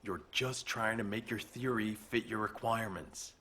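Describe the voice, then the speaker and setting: An actor playing a skeptical, practical military engineer in a video game, speaking in a matter-of-fact, argumentative way. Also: male voice, on the low side, fairly even intonation, moderate pace, sharp tone, slightly rough. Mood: skeptical, exasperated.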